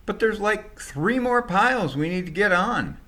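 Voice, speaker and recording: A man's voice: high-pitched, animated, a little slow, sharp tone, voice pushed up, full character voice. A man voicing a harried package packer who calls out in pleading protest in an audio story. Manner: pleading, calling out.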